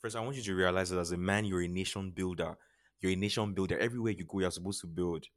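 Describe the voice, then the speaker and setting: A man's voice: low voice, fairly even intonation, fast talker. A man with a Nigerian accent speaking as a podcast guest in an earnest, motivational way, repeating phrases for emphasis. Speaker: podcast guest, charity founder. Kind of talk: motivational interview talk.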